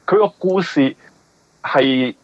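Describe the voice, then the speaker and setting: A man with a Hong Kong Cantonese accent, a novelist explaining his work in a conversational interview, often halting and repeating words. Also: on the high side, no room sound, lo-fi sound. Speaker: novelist guest.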